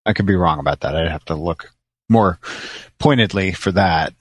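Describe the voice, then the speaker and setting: Low-voiced man, dry close sound. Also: moderate pace, breathy edge, no echo. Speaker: podcast co-host. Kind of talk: casual podcast discussion.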